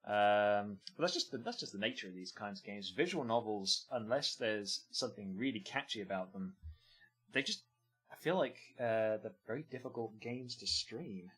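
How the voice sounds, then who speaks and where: A man's voice: fairly low pitch, expressive, normal speed, fairly bright tone, slightly rough, low-quality recording. Offhand muttering male streamer. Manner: offhand, meandering, muttering asides. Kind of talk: casual gameplay commentary.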